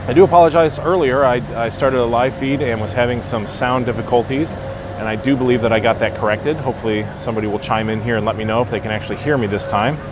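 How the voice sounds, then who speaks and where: A man's voice: medium pitch, steady tone, fast talker, noisy background. A man doing a casual live-stream walking tour of a theme park, greeting viewers by name with lots of fillers, a bit sniffly from a sinus infection. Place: outdoors at a theme park walkway.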